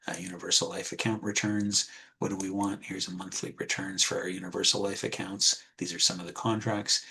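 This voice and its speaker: A lowish, slightly husky male voice, speaking calmly over a computer mic on a video call. He walks through his points steadily and takes many small pauses and restarts. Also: rough recording. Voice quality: husky, soft. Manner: calm, measured, with frequent ums and restarts. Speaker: insurance wholesaler presenting a webinar. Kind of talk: webinar presentation with screen share.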